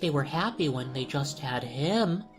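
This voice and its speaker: A woman voicing a smug, childish doll-like monster girl in a horror story, drawn-out and wheedling, with music behind. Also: sits low, lively intonation. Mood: mock sad. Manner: drawn-out, pouty, wheedling sing-song.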